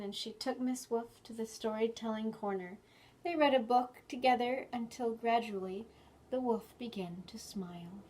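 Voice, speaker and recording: A woman's voice: a little high, warm tone, rough recording. A woman hosting a children's storytime, reading picture books expressively and chatting to young children with questions. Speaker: children's librarian storytime host. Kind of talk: animated picture-book reading and chat for preschoolers.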